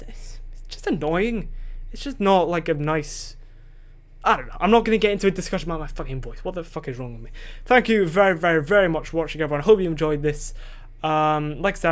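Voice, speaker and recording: Rambling young man, higher voice. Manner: rambling and self-questioning, loses his train of thought; casual swearing. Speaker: solo podcaster. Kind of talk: rambling solo podcast chat. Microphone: desk microphone.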